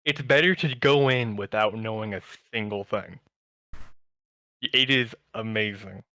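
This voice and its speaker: A man's voice: fairly low pitch, a little slow, sharp tone, no room sound, poor audio. A man co-hosting a podcast, chatting casually and telling stories in an enthusiastic, rambling way. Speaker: podcast co-host. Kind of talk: casual chat about anime.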